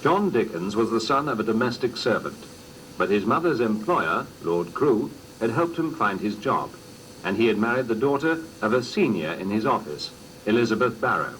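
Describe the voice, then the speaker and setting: Male narrator for a biographical documentary: slightly low voice, animated intonation, formal scripted delivery, faintly noisy cassette sound. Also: moderate pace. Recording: noisy old cassette recording.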